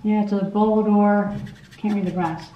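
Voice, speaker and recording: A woman's voice: mid-range voice, fairly even intonation, moderate pace. Curious woman in an echoey basement. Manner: curious, technical, lots of fillers. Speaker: vlogger exploring a basement. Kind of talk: casual exploring commentary. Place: cluttered basement boiler room. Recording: echoey basement, dull camera audio, faint hiss.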